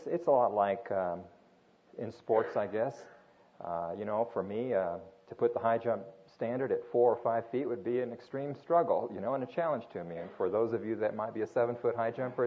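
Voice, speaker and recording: An older man who grew up in Japan, speaking earnestly at a church gathering in an informal sermon with frequent restarts. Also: fairly low pitch. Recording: dull lo-fi recording.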